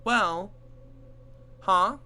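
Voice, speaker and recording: A man with a hoarse voice from a cold, reading aloud the lines of a bubbly, party-loving young female pony in a comic. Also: high voice, extremely slow, bright, forceful tone, exaggerated voice. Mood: chirpy.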